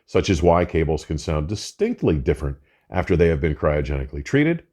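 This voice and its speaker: An older man presenting a scripted product review in a measured, emphatic way with formal wording. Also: very deep voice, sing-song, a little mellow. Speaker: audio equipment reviewer and host.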